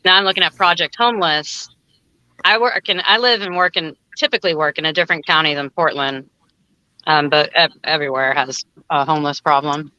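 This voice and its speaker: A woman's voice: low-pitched, brisk, sharp tone, dry recording. A woman chatting casually as a guest on a live online stream. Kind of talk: casual chat. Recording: dull lo-fi audio.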